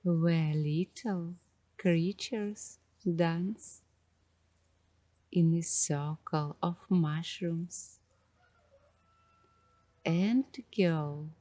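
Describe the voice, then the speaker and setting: Female ASMR host, extremely unhurried and hushed, dark mellow tone, dreamy halting phrasing. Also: on the low side, no room sound. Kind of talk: ASMR guided coloring narration.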